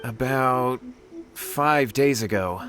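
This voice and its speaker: A man's voice: medium pitch, takes it slowly, a bit bright. A man playing an anxious young brother in a video game, earnest and pleading. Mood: worried. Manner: earnest, imploring. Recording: faint music behind the voice.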